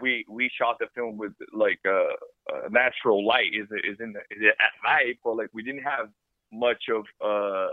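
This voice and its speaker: A man's voice: lowish voice, no echo. Brazilian-accented man on a tinny line. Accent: Brazilian Portuguese. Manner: animated, playful, halting with restarts. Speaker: film director, guest. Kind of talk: casual interview chat. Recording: dry tinny phone-like line.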